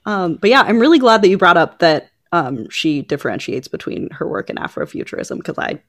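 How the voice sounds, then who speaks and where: A woman's voice: fairly low pitch, sing-song, brisk, a bit bright. A woman co-hosting a podcast, chatting casually about book news. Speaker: podcast co-host.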